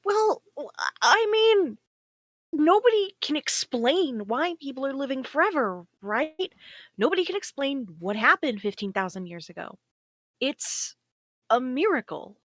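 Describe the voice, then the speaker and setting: A woman plays a naive space probe that has only just woken up. The voice is high and very lively in pitch, recorded dry and close. The delivery is earnest, shy and hesitant. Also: measured pace, dry recording, heavily acted. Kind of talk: table read of a science fiction story.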